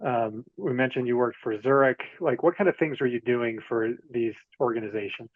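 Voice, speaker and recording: A man hosting an interview, asking questions in a friendly, halting way with many fillers over a video call. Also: medium pitch. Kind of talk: casual interview. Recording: tinny phone-like call audio.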